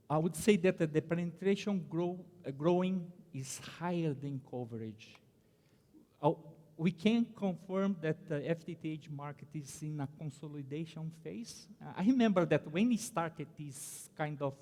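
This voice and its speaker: Brazilian man, slightly high voice, halting English presentation, hall echo. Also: a little mellow. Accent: Brazilian Portuguese. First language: Portuguese. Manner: halting, many fillers and restarts. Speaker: conference panelist. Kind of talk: conference presentation. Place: conference hall.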